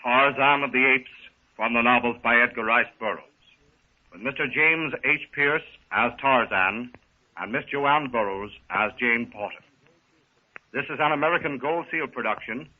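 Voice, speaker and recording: A man's voice: medium pitch, normal speed. A man announcing a 1930s radio serial from a script, formal and dramatic. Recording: thin, dull 1930s radio recording.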